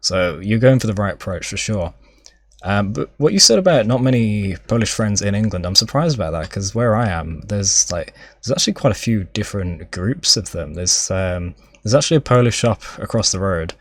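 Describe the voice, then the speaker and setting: A man's voice: fairly low pitch, lively intonation, moderate pace. A man hosting a casual podcast, friendly and encouraging, asking his guest follow-up questions. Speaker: podcast host.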